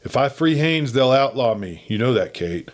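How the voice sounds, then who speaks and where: A man's voice: medium pitch, animated, moderate pace, pitched above the performer's own voice, close to an everyday voice. A man plays a brooding young cowboy in a western storybook. He is a half-wild wanderer who speaks in terse, heavy lines. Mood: heavy, reproachful.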